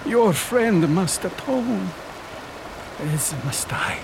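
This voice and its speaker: A tortured old priest in a radio drama. He speaks very slowly and gravely, intoning with doom-laden fervor and guilt. Rushing rain and water sound clearly behind his voice. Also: sits high, animated, noisy background, full character voice. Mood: solemn, weary. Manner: grave, solemn, intoning prayers.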